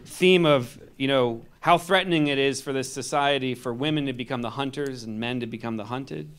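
A man's voice: medium pitch, brisk, a bit bright, clean tone. A man giving a reflective, analytical comment in a discussion. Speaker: discussion participant.

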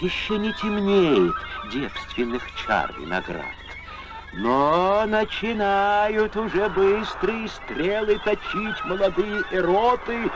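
An actor playing a grave poet who recites ancient verse theatrically in an animated film. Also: male voice, high voice, poor audio. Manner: theatrical, declamatory.

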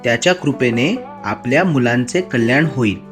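Cartoon devotional tale: caring father of young children, mid-pitched, steady and reassuring male actor. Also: moderate pace, faint music behind, lightly acted. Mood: earnest, cautioning. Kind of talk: animated moral story dialogue.

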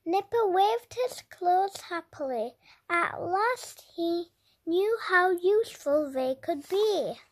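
A very high-pitched, childlike voice reads a picture book aloud. The delivery is extremely slow and deliberate, with dramatic emphasis suited to young children. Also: expressive. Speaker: children's storybook reader. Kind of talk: expressive read-aloud narration for young children.

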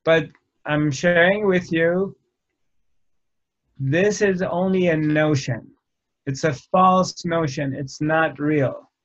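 A man in his fifties giving a slow, halting spiritual talk to camera, often restarting his phrases, recorded in a dark indoor room. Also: fairly high pitch. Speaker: spiritual teacher. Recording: dull lo-fi sound.

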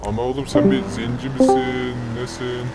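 A man's voice: mid-range voice, little pitch movement, moderate pace, loud music behind the voice. A young man trolling in a roleplay game, talking casually with crude, mocking banter. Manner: loud, crude, mocking and playful. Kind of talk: casual gaming banter over voice chat. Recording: noisy game audio behind voice.

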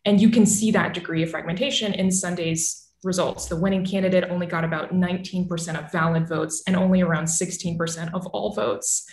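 A woman in her thirties explaining politics as an expert guest on a video call, articulate and measured. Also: fairly low pitch, steady tone, a little mellow. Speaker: newsletter author and analyst guest. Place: small home room with bookshelf, indoors. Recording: roomy, echoing video call audio.

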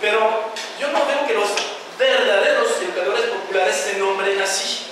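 A man's voice: sits high, fairly even intonation, normal speed. A man in his forties, born in France and speaking a second language, giving a thoughtful, hesitant panel talk with many restarts. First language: a regional language of France. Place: large classroom with panel audience. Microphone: handheld mic, far camera. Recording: echoey room, far mic, background hum.

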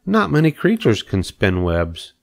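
A man voicing a clever, patient female spider in a storybook, measured and matter-of-fact. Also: on the low side, wildly swooping pitch, vocal fry, pitched above the performer's own voice, full character voice. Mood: gently advising. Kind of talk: storybook character reading.

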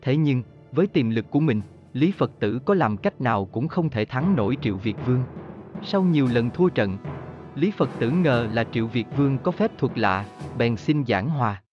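A man's voice: mid-range voice, expressive, moderate pace, highs cut off. A man narrating history from a script in a formal storytelling manner. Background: background music.

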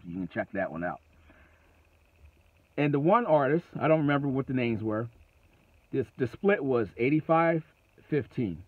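Gruff-toned man vlogging from his car. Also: lowish voice, no room sound. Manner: conversational, emphatic, repeats phrases. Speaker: trucking vlogger. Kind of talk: casual advice vlog. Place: parked car, indoors. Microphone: phone camera at arm's length. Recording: tinny phone-like sound.

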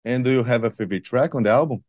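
A man's voice: medium pitch, racing, a little mellow, no echo. Brazilian man, careful accented interviewer. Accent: Brazilian Portuguese. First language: Portuguese. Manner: careful non-native English, apologetic. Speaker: radio interviewer. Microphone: video call with headphones.

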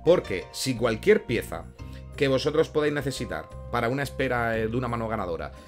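A man's voice: medium pitch, animated, fairly quick. A young man with a Castilian Spanish accent explaining a game in an informal, didactic tutorial style, often rephrasing with examples. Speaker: gaming tutorial host. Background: background music with guitar.

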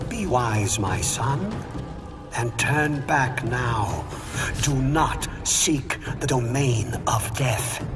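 An actor playing a menacing old bearded doomsayer in a game, taunting and theatrical. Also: male voice, mid-range voice, expressive. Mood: grave warning. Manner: taunting, theatrical, drawn-out delivery. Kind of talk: dramatic game cutscene acting. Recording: dramatic music behind.

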